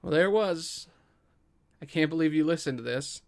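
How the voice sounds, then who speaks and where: A man's voice: fairly high pitch, moderate pace, vocal fry. A man hosting and narrating a scripted table read podcast.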